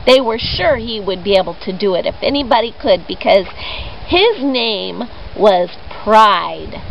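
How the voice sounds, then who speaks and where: Lady doing storytime for kids, really slow and swoopy, dramatic on key words, muffled noisy recording. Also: mid-range voice. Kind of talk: expressive children's storytelling. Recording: dull lo-fi audio with clear background noise.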